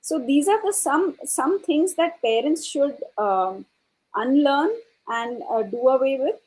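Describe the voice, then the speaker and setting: Higher-pitched woman, thoughtful educator. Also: expressive, darkish tone, poor audio. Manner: halting, thoughtful, repeats words. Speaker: panelist, educator. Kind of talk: panel discussion answer, explanatory.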